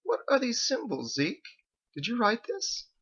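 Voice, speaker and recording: Man voicing an affectionate older woman, pitched up. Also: wildly swooping pitch, measured pace, a bit bright, breathy edge, muffled recording, heavily acted. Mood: exasperated, suspicious. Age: 60s. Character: loving, worried sixty-five-year-old wife, bustling and warm. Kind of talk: audiobook character voice.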